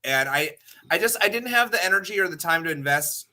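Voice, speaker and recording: A man's voice: sits high, animated, a little fast, bright, forceful tone. A man chatting casually and opinionatedly as a talk show panelist, rambling, emphatic and loose with swearing.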